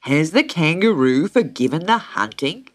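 A woman voicing a solemn, pompous male pelican in a children's story, with formal, drawn-out pronouncements. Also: sits low, sing-song. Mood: exasperated.

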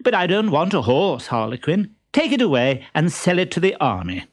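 Man putting on a coy, high-voiced young lady. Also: sing-song, moderate pace. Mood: mock disdain. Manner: coy, loudly theatrical.

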